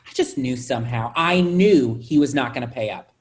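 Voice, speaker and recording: A woman's voice: rumbling low, lively intonation, normal speed, darkish tone. A woman acting a self-absorbed, grumbling office worker with a casual manner, in a staged play reading. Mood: griping.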